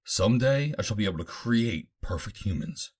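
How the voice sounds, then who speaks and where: Man as a lecturing, husky Martian scientist. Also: on the low side, animated, normal speed. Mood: proud. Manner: lecturing, formal, grandiose. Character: Martian master scientist, proud, calculating creator of artificial bodies.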